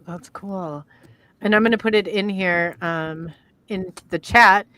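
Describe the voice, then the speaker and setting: A woman's voice: medium pitch, takes it slowly, pushed and bright, no echo. A middle-aged woman with an Iowan accent hosts a casual live-stream chat, talking in a rambling way that trails off as she works the screen. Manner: chatty and rambling, trails off while working the screen. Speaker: podcast host. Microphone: video call.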